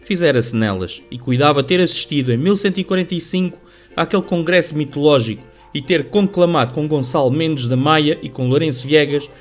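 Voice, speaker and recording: A male narrator reads a classic story for radio in a slightly high voice. His European Portuguese delivery is formal and measured, a literary storyteller's reading over soft music. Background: music under the voice.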